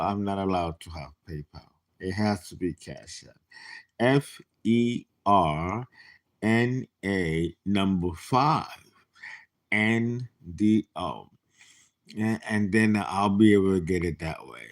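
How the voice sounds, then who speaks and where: Tarot reader in his fifties, low voice with big swings in pitch, unhurried and chatty, drops to whispers now and then. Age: 50s. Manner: animated, chatty, laughing asides, sudden whispers. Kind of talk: casual live tarot reading.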